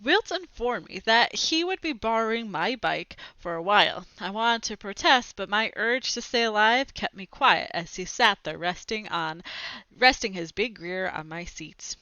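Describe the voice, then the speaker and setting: A young woman reading a storybook aloud into a headset mic, expressive, with brief explanatory asides. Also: mid-range voice, unhurried, no echo. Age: young adult. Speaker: read-aloud presenter. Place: small room at home, indoors. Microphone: headset boom mic. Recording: slightly dull, lo-fi headset sound.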